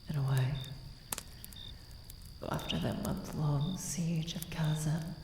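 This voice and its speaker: A woman playing a centuries-old vampire, anguished, devoted and vengeful, in a dramatic fantasy ASMR roleplay with halting, breathy delivery that often drops into whispers. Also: sits low. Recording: echoing reverb with noisy cricket ambience. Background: night ambience with crickets and sound effects.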